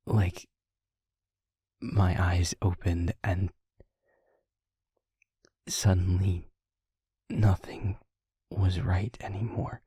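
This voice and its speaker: Guy doing a soft slow vampire boyfriend, real breathy, anxious n tender, halting whispers, audio rp. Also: low voice, no room sound, studio quality, heavily acted. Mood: soft, troubled. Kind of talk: intimate audio roleplay, acted.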